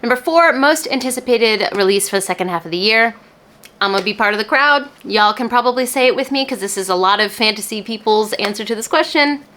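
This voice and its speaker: A woman talking casually to camera as a book reviewer, in an animated, emphatic, chatty way. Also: a little high, a little fast. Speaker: book reviewer and video host.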